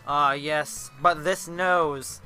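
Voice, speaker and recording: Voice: mid-range voice, steady tone, pushed and bright, soft background music. A storyteller voicing a greedy, weaselly male cobbler with a sly, wheedling, folksy way of talking. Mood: greedy, gloating. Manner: wheedling, drawn-out folksy talk.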